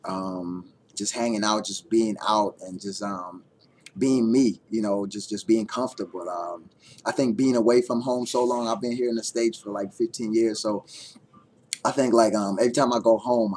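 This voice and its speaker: Young Guyanese man, casual rambling vlog delivery, low-set voice. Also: darkish tone, a little hiss or hum. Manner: rambling, with many fillers and repeated words. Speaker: vlogger. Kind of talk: casual chat answering tag questions.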